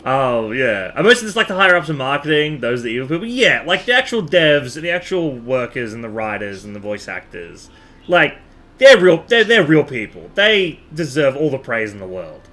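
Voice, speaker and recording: Male livestreamer: bright, lively, swooping delivery, casual rambling chat with repetition for emphasis. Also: medium pitch, brisk. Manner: animated, rambling, repeats himself for emphasis. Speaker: game livestreamer.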